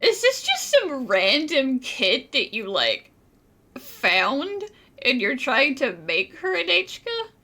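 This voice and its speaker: A woman's voice: high voice, huge pitch swings, slow pace, sharp tone, exaggerated voice. A woman playing a blunt, indignant young woman in a video game, talking casually with a sarcastic edge. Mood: appalled. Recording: faint music behind the voice.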